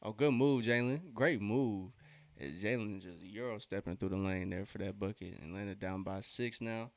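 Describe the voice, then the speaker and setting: A man's voice: fairly low pitch, moderate pace, no echo. A thirty-year-old man hosting a sports watch-along stream, chatting casually in a laid-back, slangy way between bits of commentary. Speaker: livestream watch-along host.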